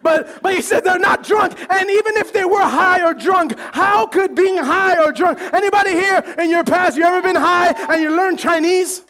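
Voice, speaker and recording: A pastor preaching a sermon with animated delivery, joking and acting out the voices of the crowd. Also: male voice, very high-pitched, normal speed, few pauses.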